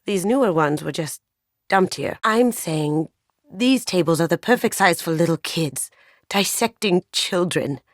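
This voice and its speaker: A woman's voice: fairly low pitch, expressive, measured pace, no echo, clean studio sound. A woman voicing a playful, wry young master thief in a sci-fi video game, with casual, cheeky delivery. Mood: uneasy, subdued. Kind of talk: video game squad voice lines.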